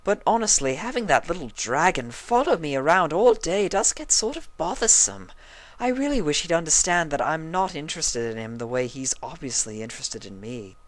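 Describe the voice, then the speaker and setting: A woman's voice: deep voice, big pitch swings, moderate pace, voice pushed up. A woman voicing an elegant, haughty female cartoon pony fashionista with affected, dramatic, refined diction. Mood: airy disdain.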